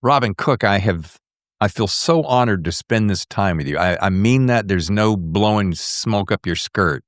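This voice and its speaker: A man's voice: lowish voice, animated, moderate pace, no room sound, clean studio sound. A man hosting a podcast in a casual, conversational interview style. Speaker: podcast host.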